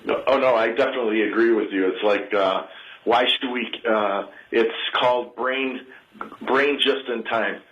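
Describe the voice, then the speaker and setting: A man's voice: medium pitch, steady tone, moderate pace, fairly bright tone, highs cut off. A man speaking as a caller on a live show, chatting casually and agreeably. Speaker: phone-in caller. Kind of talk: casual chat.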